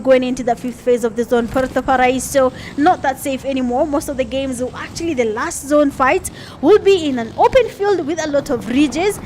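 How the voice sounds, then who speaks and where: High-voiced Kenyan woman casting a game. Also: a little mellow, slight background noise. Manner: chatty, laughs at herself, frequent uh fillers. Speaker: esports caster. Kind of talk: live play-by-play commentary.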